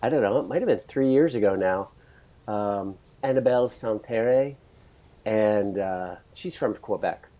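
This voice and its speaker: Raspy-voiced chatty male podcast host. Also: fairly low pitch, measured pace, warm tone. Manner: chatty, rambling, laughs often. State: raspy voice from screaming at a concert. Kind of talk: casual interview chat.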